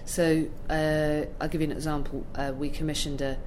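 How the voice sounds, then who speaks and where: A woman's voice: sits low, flat delivery, moderate pace, a little mellow, low-quality recording. A middle-aged woman talking earnestly as an interview guest, often restarting her sentences as she thinks. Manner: hesitant, many restarts, earnest. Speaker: arts curator, interview guest. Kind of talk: thoughtful conversational interview. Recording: faint background noise.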